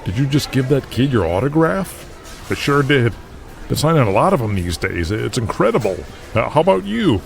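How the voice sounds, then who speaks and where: An actor playing brash, excitable young pro wrestlers trading slangy, sweary banter in an audio drama. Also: male voice, medium pitch, moderate pace, gravelly, soft background music. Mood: upbeat, proud.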